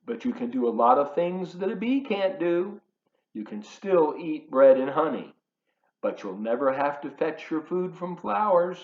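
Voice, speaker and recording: Animated, unhurried man reading to children. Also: fairly high pitch. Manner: expressive, emphatic, teacherly. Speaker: volunteer children's storybook reader. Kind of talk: read-aloud storytelling with chatty asides to children. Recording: roomy echo, slightly dull sound.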